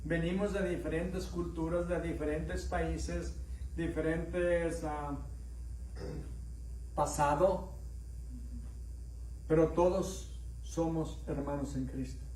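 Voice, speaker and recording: Mexican pastor preaching in an echoing church. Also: male voice, on the high side, steady tone, takes it slowly, long pauses, dark tone, distant mic, slight background noise. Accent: Mexican, slightly mixed. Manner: storytelling, asks the congregation questions, acts out dialogue in raised voices. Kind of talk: conversational sermon with anecdotes. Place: church sanctuary. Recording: echoey room, voice heard from afar.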